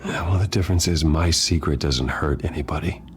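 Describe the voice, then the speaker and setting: A man playing a brooding, earnest, injured billionaire vigilante in a video game, speaking with subdued, gruff sincerity. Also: very deep voice, fairly quick, slight background noise. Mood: subdued, sincere. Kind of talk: naturalistic video game acting.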